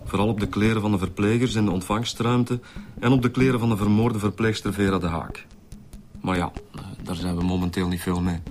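An actor playing a matter-of-fact, colloquial Flemish detective reporting in a radio drama. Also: male voice, on the low side, steady tone, a little fast, a little mellow, natural voice. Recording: music under the dialogue.

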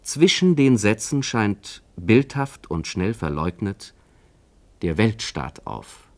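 A man narrating a scripted literary essay in a formal, measured manner. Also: fairly low pitch, big pitch swings. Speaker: narrator, commentator.

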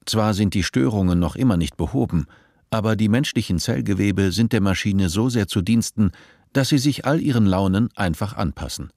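A man narrating a dystopian radio play in a measured, detached, literary manner. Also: lowish voice, a little mellow, no echo, studio quality. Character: male narrator of a dystopian story.

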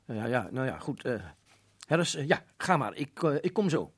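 A man's voice: mid-range voice, very animated, moderate pace, husky. A man playing an irritable, stubborn colonel who runs a prison, in a Dutch radio drama, speaking emphatically and dismissively. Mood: flustered, uneasy. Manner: emphatic, dismissive, repeats words insistently. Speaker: actor in a radio play.

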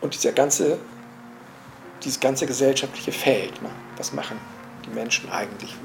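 A man's voice: medium pitch, normal speed, gravelly, background noise. A mature man speaking spontaneously and analytically as a cultural commentator, searching for words and often adding little tag questions. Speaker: cultural commentator and jazz enthusiast.

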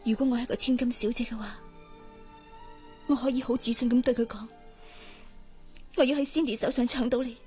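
A woman's voice: fairly high pitch, steady tone, fairly quick, long pauses, warm tone, faint music behind, natural voice. A woman with a Hong Kong Cantonese accent playing an earnest, lovesick young maid in a radio drama, deferential and wistful. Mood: yearning, resigned.

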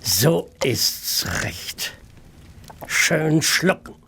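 An actor playing a coldly menacing killer in a radio drama, mock-polite, soothing and sinister. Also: male voice, on the high side, expressive, pushed and bright, slight background noise, exaggerated voice. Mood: sinister, coaxing. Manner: very slow, coaxing, sinister.

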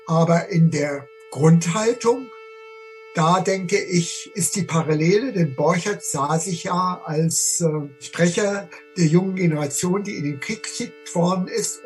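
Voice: mid-range voice, a little slow, a little mellow, soft background music. An expert interviewee on literature, talking in an explanatory, spontaneous way.

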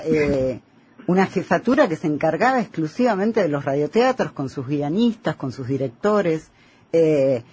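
An extremely husky, rasping voice co-hosts a radio talk. The accent is Rioplatense Argentine, and the delivery is conversational and explanatory. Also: mid-range voice, darkish tone.

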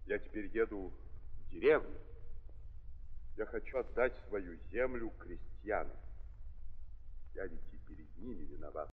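An actor playing an earnest, guilt-ridden gentleman prince in a 1930s Russian radio drama, speaking haltingly but insistently. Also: low voice, husky. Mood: earnest, resolute. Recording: muffled old radio recording, long reverb.

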